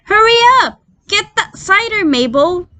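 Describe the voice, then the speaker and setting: A woman's voice: very high-pitched, animated, unhurried, bright, forceful tone, pitched above the performer's own voice, exaggerated voice. A woman voicing an impatient farmhouse woman in a children's story, calling out bossy orders.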